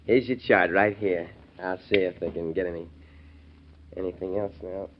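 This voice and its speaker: A man's voice: deep voice, fairly even intonation, normal speed, close to an everyday voice. An actor playing a sly, cocky man with a chuckling manner in a 1940s radio drama. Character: greedy sly man, shot near the end. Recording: dull old radio recording with faint hiss.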